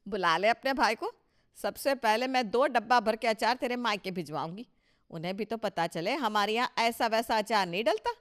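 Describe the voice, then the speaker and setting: A woman's voice: a little high, normal speed, a bit bright, close to an everyday voice. A woman playing a proud, touchy older mother-in-law in a Hindi cartoon story, emphatic and boastful with a scolding edge. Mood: boastful, indignant.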